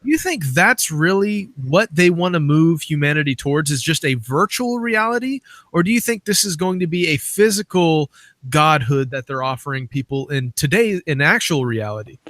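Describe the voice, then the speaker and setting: Male interviewer, slightly high pitch, very dry sound, informal and rambling conversational style. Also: animated, moderate pace, a bit bright, dry recording. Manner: informal, rambling lead-ins to questions. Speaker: interviewer, host.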